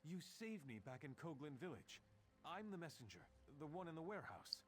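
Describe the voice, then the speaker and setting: Flustered young game messenger, higher voice, bouncy delivery. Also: male voice, sing-song, a little fast, fairly bright tone. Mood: apologetic. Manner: flustered, apologetic. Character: forgetful young male messenger, apologetic. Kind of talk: game voice acting.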